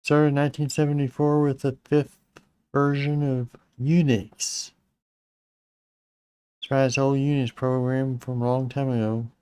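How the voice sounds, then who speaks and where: A middle-aged man with a slightly raspy voice, hosting a casual live-streamed Linux tutorial, rambling with fillers and restarts. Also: mid-range voice, level tone, measured pace, darkish tone, dry recording. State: slightly raspy, clearing his throat.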